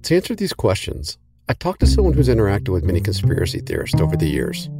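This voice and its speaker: A man hosting a news podcast, narrating a script in a measured, reflective way and putting questions to a guest. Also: deep voice, huge pitch swings, gravelly. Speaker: podcast host. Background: music bed.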